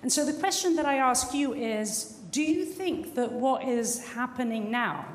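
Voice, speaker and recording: A woman putting a measured, analytical question to a conference panel. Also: medium pitch, slight background noise. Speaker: audience member asking a question. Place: large conference hall. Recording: echoey hall with faint ambience.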